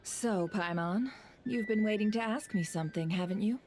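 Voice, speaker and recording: A woman playing a composed, shrewd female schemer in an anime game, speaking in a measured, formal, knowing way. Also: mid-range voice, a little mellow, lightly acted. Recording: music playing behind the voice.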